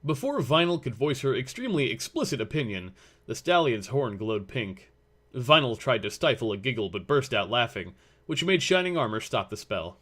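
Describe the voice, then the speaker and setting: A man's voice: medium pitch, sing-song, normal speed, a bit bright, husky. A man reading scripted story narration as the narrator of a fan fiction audio drama.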